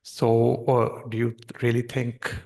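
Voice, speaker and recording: A man's voice: medium pitch, completely flat delivery, takes it slowly, vocal fry, a little mellow. Musing man asking an audience question. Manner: musing, with fillers.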